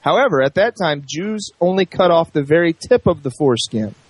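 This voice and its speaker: A man's voice: on the high side, expressive, a little fast, husky, no room sound. A man of thirty co-hosting a casual radio talk show, explaining at length as he reads aloud. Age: 30s. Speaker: co-host.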